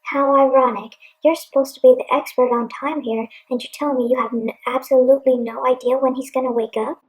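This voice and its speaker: Sarcastic female guest acted by a woman: high-pitched, disbelieving, radio play. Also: steady tone, brisk, lightly acted, rough recording.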